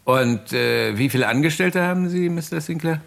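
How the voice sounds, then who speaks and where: An actor playing a calm, methodical police inspector in a German radio crime drama, courteous and probing. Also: male voice, fairly high pitch, moderate pace, gravelly.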